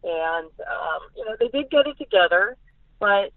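Female sportswriter phoning in, low voice for a woman, halting casual game analysis, narrow tinny line. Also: animated, no room sound. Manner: halting, repeating words. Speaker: sportswriter guest. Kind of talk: casual football analysis over the phone. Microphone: phone call.

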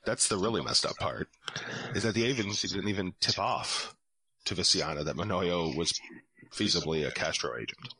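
A man's voice: sits low, normal speed, sharp tone, breathy edge, poor audio. A man hosting a podcast discussion in an analytical, explanatory way, going through documents. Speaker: podcast host.

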